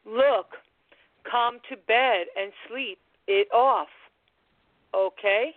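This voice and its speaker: An actress playing a patient, gently firm middle-aged wife in a radio drama. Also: female voice, on the high side, extremely slow, no echo. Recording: muffled phone-like audio.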